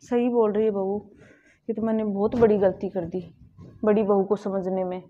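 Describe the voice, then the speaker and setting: A woman's voice: medium pitch, flat delivery, normal speed, close to an everyday voice. A woman playing a bossy mother-in-law. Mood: remorseful. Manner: sharp scolding, turns remorseful. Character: domineering middle-aged mother-in-law, partial to one daughter-in-law. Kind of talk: family skit acting. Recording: dull lo-fi recording.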